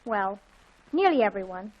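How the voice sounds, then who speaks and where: A woman's voice: mid-range voice, big pitch swings, moderate pace, lo-fi sound, lightly acted. A woman playing a boy-crazy, easily offended teenage girl in a dramatic, indignant 1940s radio comedy style. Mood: sulky, dramatic.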